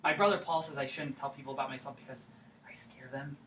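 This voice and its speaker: An actor in a staged reading playing an earnest, naive, childlike man, with a halting, literal-minded way of talking. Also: medium pitch, steady tone, fairly bright tone, close to an everyday voice. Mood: insistent, vulnerable. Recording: echoey room, distant mic, faint noise.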